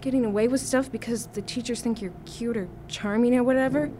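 A woman's voice: a little high, steady tone, fairly quick, dark tone, close to an everyday voice. A young actress playing a sardonic teenage girl who has been bitten, speaking bluntly and wryly. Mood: earnest. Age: teens. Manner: wry, blunt. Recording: audible background noise.

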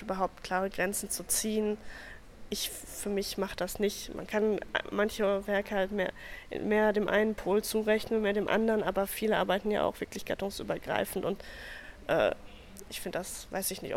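A woman's voice: medium pitch, steady tone, normal speed. A young woman artist answering interview questions in a casual, thoughtful way, sometimes trailing off. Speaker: artist, art school graduate. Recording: faint background noise.

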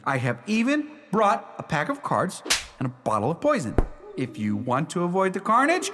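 An actor playing an exasperated, lovestruck young bridegroom in a radio play, earnest, wordy and theatrical. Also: male voice, sits high, wildly swooping pitch, moderate pace, over music. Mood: exasperated, commanding.

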